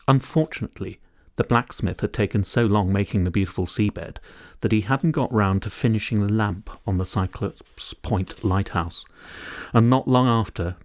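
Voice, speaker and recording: A man narrates a children's audiobook in a low voice. His reading is expressive and scripted, and the sound is very dry, with no room around it. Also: moderate pace, dry recording.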